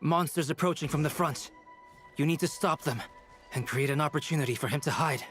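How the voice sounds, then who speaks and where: An actor playing a calm male mentor in a video game, measured and instructive in delivery. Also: on the high side, close to an everyday voice. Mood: commanding. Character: calm, authoritative male mentor guiding a companion. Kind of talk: dramatic video game voice acting. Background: faint game music.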